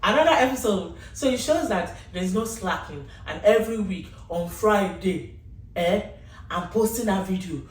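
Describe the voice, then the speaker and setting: A woman's voice: medium pitch, lively intonation, moderate pace. A woman hosting a casual talk show, exuberant and sing-song, laughing often. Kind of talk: casual chat. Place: indoor room with a sofa. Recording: echoey room, distant mic, faint hiss.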